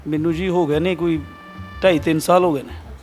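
Punjabi guy from pakistan, slightly high voice, humble polite answers in an interview. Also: steady tone, moderate pace, darkish tone, soft background music. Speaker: comedian's sidekick, interview guest. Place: small indoor studio, on a sofa. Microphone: handheld interview mic.

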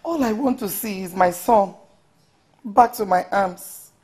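A woman playing a weary, worried middle-aged Nigerian mother in a film melodrama, plaintive and quick to defend herself. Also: medium pitch, darkish tone, a little hiss or hum, close to an everyday voice. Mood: weary, pleading. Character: weary, worried mother who answers back.

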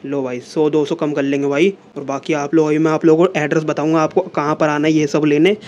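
A young man talks straight into a phone held close, chatting casually as a vlogger and leaning on the same filler words again and again. His voice sits a little high but stays flat and mellow. A faint hiss of the room runs under it. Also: fairly quick, dull audio. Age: young adult. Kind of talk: casual vlog talk to camera. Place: small room indoors, then a seller's home.

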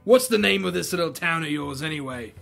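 A brash, gruff gang leader from an adventure story, acted by a man reading aloud. He is blunt and impatient, sneering as he orders people about. Music plays behind the voice. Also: on the high side, lively intonation, a little fast, fairly bright tone, full character voice. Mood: brusque.

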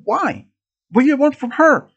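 A storyteller voicing a shy, frightened teenage boy who stammers and pleads for calm. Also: high-pitched, normal speed, dull audio, voice pushed up, heavily acted. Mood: pleading.

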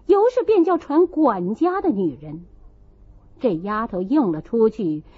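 A woman reading a classical novel as an audiobook narrator, in an expressive storytelling style. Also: high-pitched, husky, dull audio.

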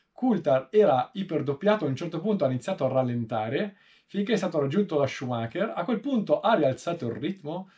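A man in his thirties from Italy giving informal, explanatory race commentary in a measured way, often pausing mid-sentence. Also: fairly high pitch, mellow. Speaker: motorsport video host. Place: small indoor room, seated in a sim racing seat.

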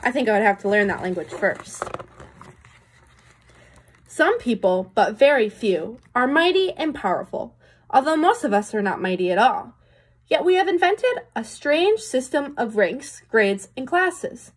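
A woman's voice: a little high, big pitch swings, a little slow, breathy edge. A young adult woman reading a picture book to children as a teacher would, with explanatory asides and questions to her listeners. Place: small room indoors.

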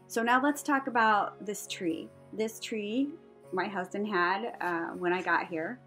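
A woman's voice: medium pitch, measured pace, bright, forceful tone. A woman in her fifties giving a casual, rambling, chatty voice-over for a home vlog, prone to chuckling. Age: 50s. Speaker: craft vlogger. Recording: music behind the voice. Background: music.

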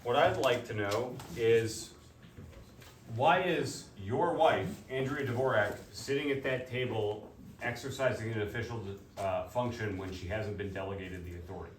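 A man's voice: on the low side, normal speed. A man at a public hearing, insistently questioning officials and repeating himself as he argues. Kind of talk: argumentative questioning at a public meeting. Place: public meeting room. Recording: echoey room, far from mic, noisy.

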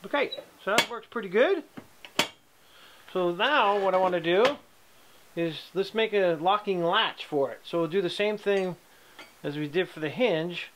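A middle-aged man giving a casual tutorial from his home metal workshop, plain-spoken and relaxed, with ums and restarts. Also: sits high, unhurried, a little hiss or hum. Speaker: hobby welder teaching a project.

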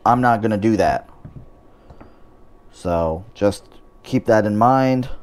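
A man's voice: lowish voice, takes it slowly, a little mellow. A man hosting a home cooking show, talking through each step in a casual way with dry, wry asides.

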